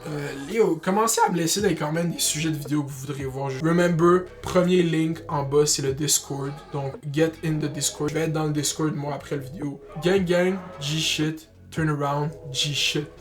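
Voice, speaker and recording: A man's voice: a little high, steady tone, normal speed, hardly any pauses. A young man with a Québécois French accent talking casually to camera as a YouTuber, in slangy speech peppered with English words. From Quebec, Canada. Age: young adult. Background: music.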